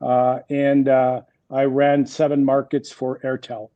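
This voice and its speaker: A man's voice: mid-range voice, monotone, takes it slowly, dark tone, no room sound, muffled recording. A French Canadian man in his sixties, a native French speaker, speaking English in an interview with many fillers and restarts. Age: 60s. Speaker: guest executive. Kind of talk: interview conversation.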